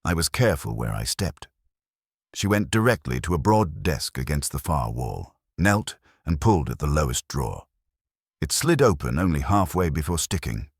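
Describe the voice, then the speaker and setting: A man reading a first-person detective story as an audiobook narrator, in a wry, measured storytelling manner. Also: extremely low pitch, very animated, creaky, husky, dry recording, studio quality.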